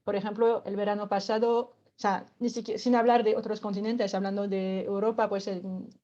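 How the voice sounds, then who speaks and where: A woman's voice: mid-range voice, flat delivery, fairly quick, warm tone, muffled recording. A woman from France speaking with a French accent, patiently explaining a climate workshop as a radio guest, with many filler words and light laughs.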